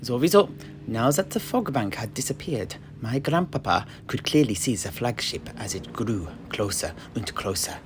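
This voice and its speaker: Guy telling a fantasy tavern tale in character, theatrical, trails off hushed, bit of a noisy recording. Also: medium pitch, animated, moderate pace. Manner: theatrical, florid, trailing into hushed phrase endings. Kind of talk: dramatic in-universe fantasy narration.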